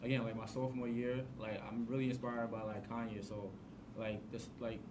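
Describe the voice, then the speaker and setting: A young man from Queens who grew up speaking Yoruba talks quickly and loosely, leaning on "like" and "you know." His voice sits at a middle pitch. Steady background noise runs under the talk. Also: far from the mic. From Queens, New York. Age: 20s. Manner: relaxed, many fillers like "like" and "you know". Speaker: guest, clothing brand owner. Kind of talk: casual interview. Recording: noisy student TV studio.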